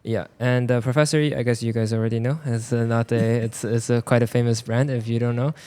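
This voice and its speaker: A man whose first language is Mandarin, with a Taiwanese Mandarin accent, chatting casually as a podcast guest in a clothing store, halting with many fillers and dropping in jokes. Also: medium pitch, level tone, a little mellow, clear, resonant tone. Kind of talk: casual chat.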